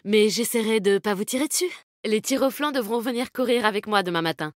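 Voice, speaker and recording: An actress playing a tough, animal-loving female fighter in a video game, speaking in a brisk, confident, slangy way. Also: mid-range voice, no room sound, studio quality, natural voice. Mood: wry, rallying. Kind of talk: video game character voice lines.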